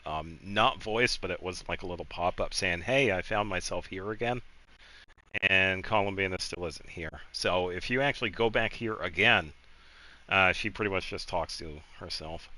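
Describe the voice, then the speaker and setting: Deep-voiced man rambling through game commentary. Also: normal speed, bright, forceful tone, rough recording. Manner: rambling, thinking aloud. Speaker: streamer playing a video game. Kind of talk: casual gameplay commentary. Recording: game music faintly behind.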